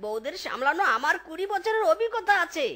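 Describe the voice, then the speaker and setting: A woman voicing an excitable, boastful young male fox in a cartoon, whiny and full of swaggering exclamations. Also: very high-pitched, fast, full character voice. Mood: gleeful, boastful. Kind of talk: exaggerated Bangla cartoon acting.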